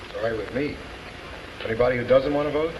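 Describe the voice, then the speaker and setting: Man acting a jury foreman, mid-pitched, procedural, orderly, 1950s television drama, hissy broadcast. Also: fast talker, lightly acted. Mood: businesslike. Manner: procedural, matter-of-fact.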